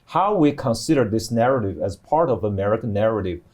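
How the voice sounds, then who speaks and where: A middle-aged man explaining things on a panel as a professor, in a halting way with many restarts. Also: on the low side, lively intonation, a little mellow. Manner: halting, hesitant delivery with repeated restarts. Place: TV studio.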